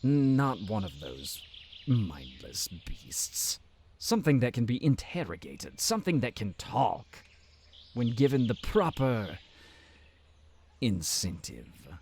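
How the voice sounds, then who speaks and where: An actor playing a pompous, conniving town advisor in a fantasy audio drama, haughty and mock-polite in a drawn-out delivery. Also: male voice, mid-range voice, very animated, darkish tone, a little hiss or hum. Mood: smug, scheming. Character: pompous, conniving male town advisor, smug and easily enraged. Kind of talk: fantasy audio roleplay acting.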